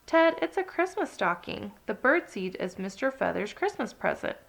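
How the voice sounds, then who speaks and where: Woman voicing a kindly, expressive storybook moose. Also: on the high side, moderate pace, gravelly, heavily acted. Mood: patient. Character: kindly, good-sport moose friend, encouraging and patient. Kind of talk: children's storybook character voice.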